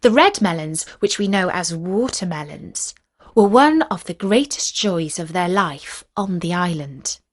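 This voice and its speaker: Slow-paced woman storyteller. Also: lowish voice, animated. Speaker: storyteller, audiobook narrator. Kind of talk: scripted narration of a folk legend for listeners.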